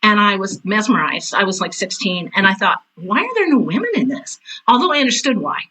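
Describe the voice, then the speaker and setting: Woman, 60s, podcast guest: bright, forceful voice, mid pitch, chatty and emphatic storyteller. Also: big pitch swings, a little fast, a little hiss or hum, poor audio. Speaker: interview guest, retired theater teacher and director. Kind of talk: casual conversational storytelling.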